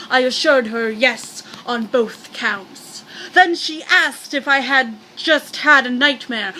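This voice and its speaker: A woman reading a scary story aloud in a theatrical, halting, playful amateur way, projecting her voice. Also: on the high side, a little hiss or hum, poor audio. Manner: projecting loudly.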